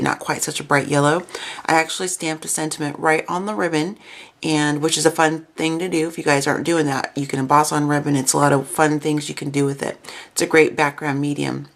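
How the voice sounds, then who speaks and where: A woman with a low, creaky voice hosts a casual craft video. She sounds raspy, as if coming down with a cold, and explains things in a chatty, informal way.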